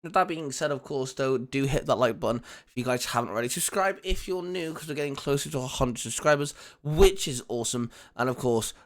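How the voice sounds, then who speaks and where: A man's voice: medium pitch, expressive, a little fast, hardly any pauses. A man co-hosting, rambling through a friendly outro with plenty of fillers. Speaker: co-host doing the outro. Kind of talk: casual channel plug and outro.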